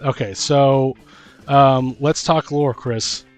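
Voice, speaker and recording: Rambling, hesitant man over soft guitar music. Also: mid-range voice, steady tone, takes it slowly. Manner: rambling, hesitant, thinking aloud. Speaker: podcast host and game master. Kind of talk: casual chat about tabletop role-playing.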